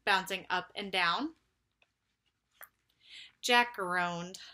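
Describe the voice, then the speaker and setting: A woman reads a story to children as a teacher would, phrasing it deliberately. Her voice is bright and fairly high, with very animated pitch and an unhurried pace. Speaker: teacher reading aloud. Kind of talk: expressive children's story read-aloud.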